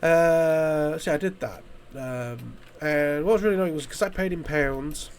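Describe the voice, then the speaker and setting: A man from the UK, hoarse from a chest infection, chatting casually while playing a game, rambling and swearing with frequent restarts. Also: on the high side, moderate pace, slight background noise. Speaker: gaming YouTuber.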